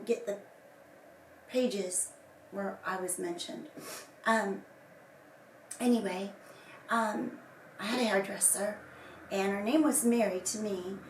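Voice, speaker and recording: A woman speaks slowly in a hoarse, breathy voice that is still recovering, sometimes sinking to a whisper. She rambles through a personal account with many fillers. She is heard from a distance in an echoey room with faint ambience behind her. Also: medium pitch, a little hiss or hum. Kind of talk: casual personal statement to camera.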